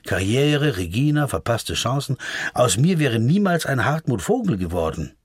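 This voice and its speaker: An actor playing a wordy, brooding legal counsel who muses pedantically to himself in a literary radio play. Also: male voice, mid-range voice, very animated, moderate pace, slightly rough. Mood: musing, wistful. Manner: musing, pedantic about words, addressing himself.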